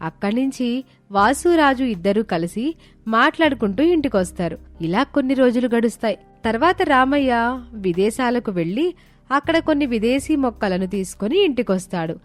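A woman's voice: on the high side, animated, normal speed, faint music behind. A woman narrating a scripted moral story as a storyteller.

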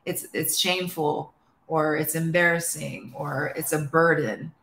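A young woman, a guest musician, chatting casually and hesitantly about mental health, with many pauses and restarts. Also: low-pitched, steady tone, roomy sound, slight background noise, poor audio. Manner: soft-spoken, hesitant, many fillers and restarts. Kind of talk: casual chat. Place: living room at home.

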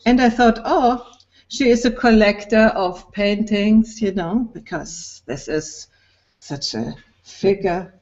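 Middle-aged woman host, slow directive delivery, dull video call audio. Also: mid-range voice, darkish tone. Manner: directive, prompts guests. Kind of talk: hosting an online party call.

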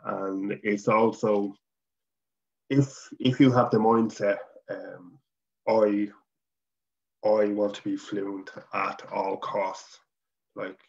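A man's voice: fairly low pitch, creaky, dull audio. A middle-aged Irish man who stutters, speaking as a guest on a video call from home. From Ireland. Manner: stutters, with repeated sounds and blocks. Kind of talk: casual conversation. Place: home room.

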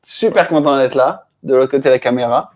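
A man in his thirties from France, the guest in a casual interview, talking in a relaxed way with many fillers in a living room. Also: medium pitch, fairly quick. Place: apartment living room at night, indoors.

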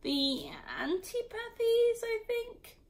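A woman reader puts on a young girl from a storybook. Her voice is high and swoops with animation as she chatters and muses to herself, full of curiosity. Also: lively intonation, takes it slowly, full character voice. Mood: pondering. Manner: wondering, musing, talking to herself.

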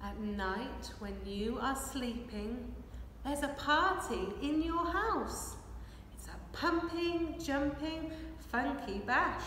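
A woman in her thirties reading a children's picture book aloud in an expressive, rhythmic, measured way. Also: sits high. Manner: expressive, measured rhythmic delivery while signing. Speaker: children's storyteller. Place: large hard-walled hall with brick and plaster. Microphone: camera a few metres away. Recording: echoey hall, distant microphone, faint noise.

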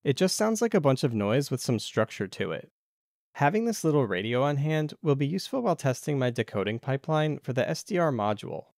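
A man's voice: medium pitch, a little mellow, no room sound, clean studio sound. A man narrating a casual DIY tech tutorial as the video's host.

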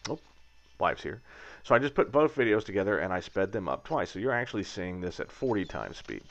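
A man in his thirties talks casually and wryly over his footage, drifting into mock rants. His voice sits very low with a gravelly fry. The recording sounds a little dull and lo-fi. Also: very animated, a little fast. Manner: rambling, wry, prone to mock rants. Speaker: aircraft builder vlogger.

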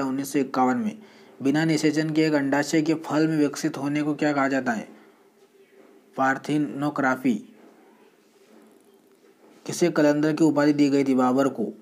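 Quiz voice-over: a man, somewhat high voice, flat delivery, steady question-and-answer reading. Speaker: quiz presenter.

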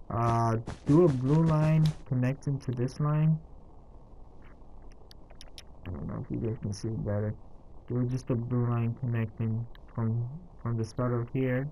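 A man narrating a casual step-by-step game tutorial in a halting, instructional way, counting out items as he goes. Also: mid-range voice, dark tone, slight background noise, low-quality recording. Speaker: tutorial host.

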